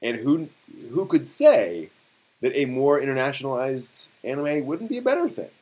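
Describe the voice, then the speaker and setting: A man hosting a casual live stream discussion, thinking aloud in a halting way and posing rhetorical questions. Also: medium pitch, a little mellow.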